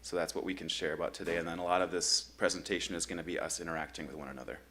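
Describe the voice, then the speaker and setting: A man from Michigan facilitating a grief workshop at a church, talking in a relaxed, conversational way with frequent ums. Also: low voice, very fast, slight background noise. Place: church meeting room.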